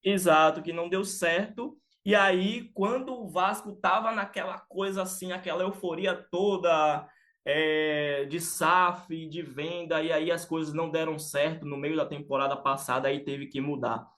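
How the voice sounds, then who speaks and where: A man's voice: a little high, steady tone, a little slow, fairly bright tone. A man from Salvador, co-hosting a casual sports podcast and talking with many fillers and restarts. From Salvador, Bahia, Brazil. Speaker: podcast co-host.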